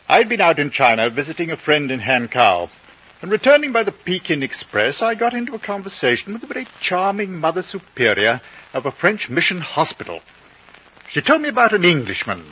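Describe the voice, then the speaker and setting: An actor playing a chatty upper-class English novelist with a clubby, conversational manner in a 1950s radio drama. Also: male voice, sits high, sharp tone, breathy edge, exaggerated voice. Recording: dull vintage radio recording.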